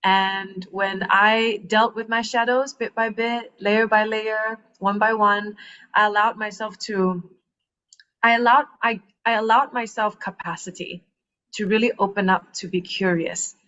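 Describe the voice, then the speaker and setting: A woman in her thirties from Malaysia, a coach telling her story in a casual podcast chat, animated and laughing often. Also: medium pitch, measured pace. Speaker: guest, transformational coach. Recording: muffled lo-fi sound.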